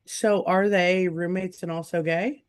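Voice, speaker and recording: A mid-pitched speaker chats loosely among friends, firing off quick comebacks and laughing often. It sounds like an ordinary home video-call microphone. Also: fairly even intonation, clean tone. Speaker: player. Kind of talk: casual chat.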